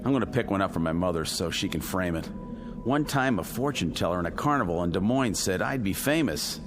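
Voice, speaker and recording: An actor playing a wisecracking test pilot with an uneasy streak in a radio drama, colloquial in delivery. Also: male voice, low-pitched, animated, fairly quick, noisy background. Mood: boisterous, teasing.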